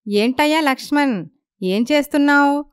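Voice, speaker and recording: A woman voicing a friendly, flattering village sister-in-law who gossips, in a moral story. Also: sits high, normal speed, no echo, clean studio sound, voice pushed up, close to an everyday voice. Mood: coaxing, catty.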